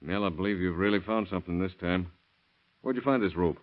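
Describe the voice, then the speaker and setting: An actor playing a shrewd, blunt sheriff who presses with questions in a 1930s radio crime drama. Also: male voice, low voice, a little fast, natural voice. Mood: intent. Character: shrewd, probing male county sheriff questioning suspects. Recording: dull, muffled vintage radio recording.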